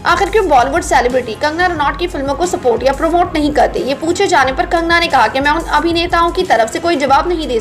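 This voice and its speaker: A woman narrating scripted entertainment news as a voice-over. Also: sits high. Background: background music.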